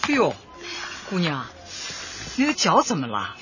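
A woman's voice: on the low side, huge pitch swings, measured pace, background noise. An actress in a radio drama playing a caring old grandmother and community leader, solicitous and often repeating herself. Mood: gentle concern. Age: older. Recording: muffled, busy crowd and music behind.

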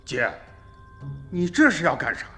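An upright, agitated middle-aged villager with a high, forceful voice that swings widely. He is earnest and exclamatory, in a radio drama with faint music behind. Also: wildly swooping pitch, a little slow, exaggerated voice. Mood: worried. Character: upright, agitated rural younger brother, insistent on law. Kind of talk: Chinese radio drama acting.

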